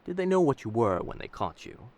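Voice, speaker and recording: A man's voice: mid-range voice, very animated, brisk. A man playing a roguish, commanding pirate captain in a roleplay audio drama, speaking in old seafaring diction. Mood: intrigued, gentle. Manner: old seafaring diction, addresses others as lass and sir.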